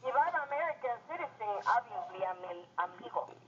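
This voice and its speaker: A woman's voice: fairly high pitch, very animated, fairly quick, fairly bright tone. A woman from Ecuador with a Spanish accent and Spanish as her first language, indignant and insistent, repeating her questions on a phone call. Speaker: call recipient. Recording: muffled recorded phone call.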